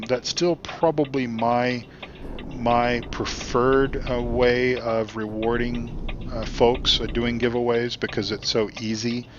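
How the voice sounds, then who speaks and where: A man hosting his gaming channel, chatting casually while he plays, rambling with many ums and uhs, his voice getting hoarse. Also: medium pitch, highs cut off, noisy background. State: losing his voice. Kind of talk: casual commentary while playing. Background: truck engine and road sounds.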